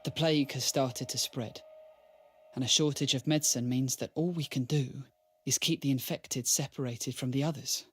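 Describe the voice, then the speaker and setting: An actor playing an earnest, deferential merchant in a radio drama, husky, with formal courtly speech and an anguished streak. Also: male voice, medium pitch, steady tone, moderate pace, a bit bright. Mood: grave, gentle.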